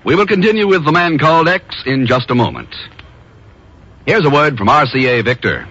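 A dull vintage broadcast with music behind an American male announcer. His mid-pitched voice is forceful and projected. He delivers scripted copy in a polished, salesmanlike 1950s style. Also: big pitch swings, moderate pace, breathy edge. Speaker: radio announcer. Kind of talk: 1950s radio announcing and ad read.

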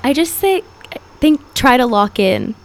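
Chatty young woman, bright jumps in pitch. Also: measured pace, a little hiss or hum. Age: young adult. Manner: chatty, many fillers, voice leaps high when excited. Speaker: guest singer. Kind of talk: casual interview chat.

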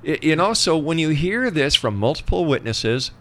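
A man's voice: a little high, sing-song, normal speed, sharp tone. A man hosting an interview show, talking conversationally and making his points with emphasis.

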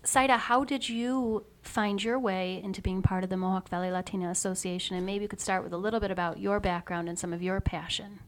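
A woman hosts an interview in an ordinary mid-pitched voice. She encourages her guests warmly, dropping in frequent short affirmations. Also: steady tone, a little fast, hardly any pauses, a little hiss or hum. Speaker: host. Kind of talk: interview hosting.

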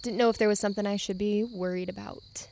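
A woman's voice: medium pitch, fairly even intonation, fast, gravelly, a little mellow, natural voice. An actress playing an uneasy, guarded young woman at church camp, hesitant and awkwardly polite in naturalistic drama dialogue. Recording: faint noise with crickets behind.

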